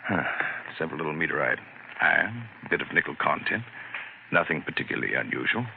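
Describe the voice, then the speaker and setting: A self-assured professor who brushes off every danger and explains things at length, his low voice moving a lot in pitch. The vintage broadcast sounds tinny and narrow, with light hiss. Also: sing-song, a little fast, gravelly, full character voice. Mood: lecturing. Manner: assured, lecturing, dismissive. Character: confident rational male professor, husband. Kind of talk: 1970s radio drama acting. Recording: tinny lo-fi old radio broadcast.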